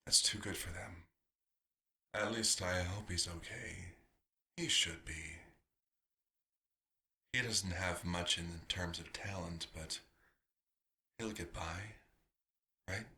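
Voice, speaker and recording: A man playing a nostalgic, proud vampire doctor in an ASMR roleplay, musing theatrically and slipping into breathy whispers. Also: sits low, takes it slowly, pauses often, sharp tone, heavily acted. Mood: wistful, worried.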